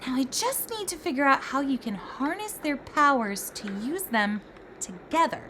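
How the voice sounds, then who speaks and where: A woman playing an earnest, excitable, bookish fairy scholar who lectures about magic in a scripted roleplay. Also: high voice, moderate pace, slight background noise, heavily acted. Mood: eager, pleading. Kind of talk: scripted roleplay voice acting with storytelling. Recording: music behind the voice.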